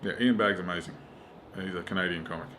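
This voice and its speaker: A man's voice: deep voice, fairly even intonation, fast talker, fairly bright tone, slight background noise. A middle-aged Australian man, a stand-up comedian, chatting casually and swearing freely as he tells emphatic stories. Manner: profane, emphatic storytelling with acted-out quotes. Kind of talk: casual chat.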